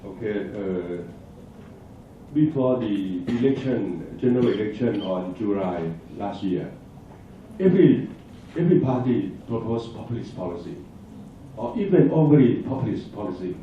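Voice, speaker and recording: Halting man answering in an echoey hall. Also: lowish voice, big pitch swings, a little hiss or hum. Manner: hesitant, halting, restarts words, frequent fillers. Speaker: conference presenter. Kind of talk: answering audience questions. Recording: echoey hall, distant dull mic.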